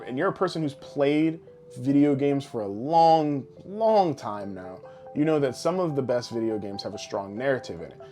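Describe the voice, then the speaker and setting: A man's voice: medium pitch, darkish tone. Casual, opinionated man over background music. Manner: informal, opinionated, profane. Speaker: podcast co-host. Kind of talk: casual podcast chat about pop culture. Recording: music playing under the voice.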